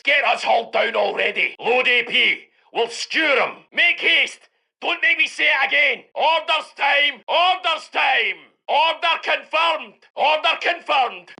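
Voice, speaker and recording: An actor playing a gruff British tank commander in a war video game, barking short military orders in a forceful, gravelly voice. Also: male voice, very high-pitched, slow pace, heavily acted. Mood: firm, commanding. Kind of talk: shouted military game barks.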